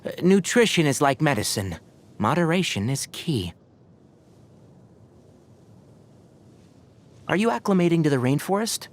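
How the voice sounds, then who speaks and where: Lively, clever young fox-eared ranger. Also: male voice, a little high, a little fast. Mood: instructive. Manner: articulate, instructive, wry. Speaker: forest watcher character in a video game. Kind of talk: video game character voice lines.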